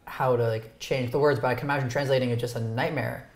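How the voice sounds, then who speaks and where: Low-voiced woman in an echoey room. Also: steady tone, fast. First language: Romanian. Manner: thoughtful, self-deprecating, trails off and restarts. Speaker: host. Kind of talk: casual dinner conversation. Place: home dinner table. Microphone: lapel mic.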